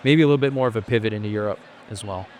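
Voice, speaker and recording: A man raised in Asia, speaking as a podcast guest. His voice sits on the low side, and he talks freely and at length, often restarting his sentences as he works through his thoughts. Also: rapid-fire, a little mellow, slight background noise. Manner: thoughtful and talkative, with frequent restarts. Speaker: podcast guest, company founder. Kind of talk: casual interview conversation.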